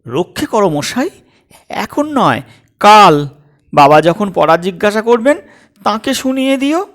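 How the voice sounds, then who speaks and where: Guy putting on a young wife, teasing and flippant, high voice, very lilting. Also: big pitch swings, unhurried, a bit bright, full character voice. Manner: light, flippant, bantering.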